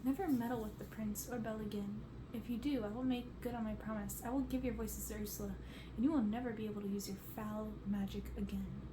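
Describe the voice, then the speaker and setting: A woman's voice: mid-range voice, fairly even intonation, fast talker, lightly acted. A woman voicing an indignant, commanding young enchantress, the youngest of her sisters, with stern emphasis in a storybook reading. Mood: furious, commanding. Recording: roomy echo, noticeable background noise.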